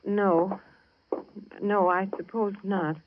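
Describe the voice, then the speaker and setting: A woman acting an anxious, apologetic hostess in an old radio thriller. She speaks hesitantly at a middling pitch, fluttery and flustered. The recording is dull and dated. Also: fairly even intonation, slow, background noise, close to an everyday voice. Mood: anxious, solicitous. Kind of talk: 1940s radio suspense acting.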